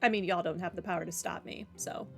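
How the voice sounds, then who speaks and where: A woman's voice: fairly low pitch, steady tone, fast talker, gravelly, over music. A woman doing casual video game playthrough commentary, reading some dialogue aloud.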